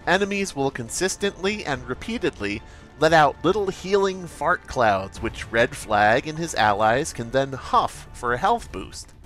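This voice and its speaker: Man playing an awkward, earnest developer with swooping pitch. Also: a bit bright, natural voice. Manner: hesitant, sheepish, oversharing. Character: earnest, creepily awkward male game developer presenting updates. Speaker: parody game director. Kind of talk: parody developer update monologue.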